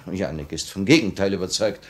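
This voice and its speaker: A revolutionary cell leader in vintage German radio drama. His voice sits low and he speaks with composed, deliberate authority, rising easily into formal proclamation. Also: animated. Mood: calm, assured. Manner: measured, commanding, proclamatory at times. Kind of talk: 1950 German radio play acting.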